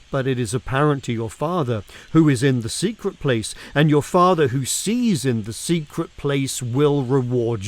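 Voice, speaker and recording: Animated man reading scripture slowly. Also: a little high. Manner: deliberate, emphatic, with weighted pauses. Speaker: reader of scripture. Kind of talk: expressive, dramatic reading of scripture aloud.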